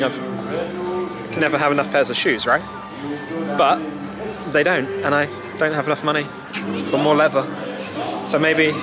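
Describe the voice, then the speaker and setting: Young guy doing a travel vlog outside, casual, bit high, loud music behind. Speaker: travel vlogger. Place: outdoors in a theme park.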